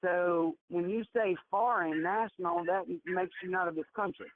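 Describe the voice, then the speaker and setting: A woman's voice: fairly low pitch, normal speed, slight background noise. A woman from Georgia in the United States explaining things emphatically and repetitively on a conference call she moderates. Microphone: telephone. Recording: muffled tinny phone conference line.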